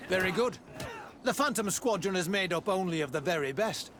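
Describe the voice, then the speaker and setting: An actor playing a nervous, eager, obedient soldier in a video game, deferential in manner. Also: male voice, high-pitched, animated, a little fast. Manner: hesitant, deferential. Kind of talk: video game cutscene acting. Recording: outdoor ambient noise throughout.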